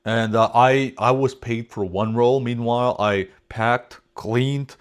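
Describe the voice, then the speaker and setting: A man's voice: medium pitch, steady tone, slow pace, smooth. European man in casual reaction commentary. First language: not English. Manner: rambling, swears freely, trails off. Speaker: reaction video host.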